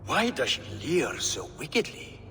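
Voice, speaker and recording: Boastful builder in a fantasy game, really animated, sharp voice, hall echo. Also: male voice, fairly high pitch, measured pace, exaggerated voice. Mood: ardent, uneasy. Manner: pompous, insistent, formal. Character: boastful, eager male builder demanding his bride. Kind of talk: video game cutscene acting. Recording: reverberant hall with music behind.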